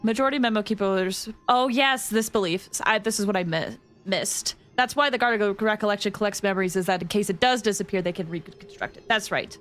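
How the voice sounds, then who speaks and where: A woman's voice: mid-range voice, fairly quick, hardly any pauses, fairly bright tone, soft background music. A woman doing casual live stream commentary on a game, chatty and reactive. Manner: chatty, reactive, greets viewers.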